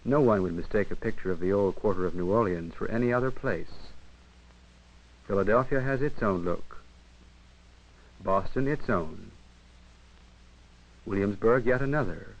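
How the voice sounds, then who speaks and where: An American man narrating a 1950s educational film in a measured, formal, literary manner. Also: fairly low pitch. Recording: dull 1958 film soundtrack, radio-like.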